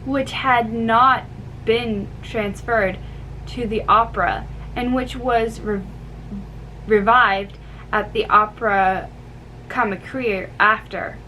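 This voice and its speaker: Slow, halting woman reading a story. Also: fairly high pitch, steady tone, pushed and bright, rough recording. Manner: halting, stumbles over foreign names. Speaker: amateur reader. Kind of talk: dramatic book reading. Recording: faint background noise.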